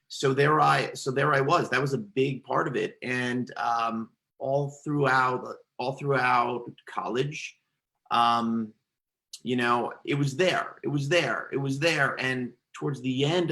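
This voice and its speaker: A man's voice: mid-range voice, a bit bright. A man who stutters, a podcast guest telling his own story in a reflective, conversational way, with repeated words and restarts. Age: adult.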